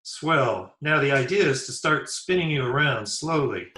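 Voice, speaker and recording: Casting: male actor as eager, clueless teen, bright and animated, roomy sound, vintage radio comedy. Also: medium pitch, moderate pace. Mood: eager, oblivious. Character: eager, oblivious teenage schoolboy. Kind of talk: old-time radio comedy acting. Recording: roomy remote video-call recording.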